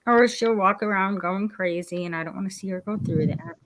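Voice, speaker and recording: A woman's voice: mid-range voice, fairly quick, a bit bright. A woman in her forties chatting in a bubbly, talkative way on a group call. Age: 40s. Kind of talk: casual group video chat.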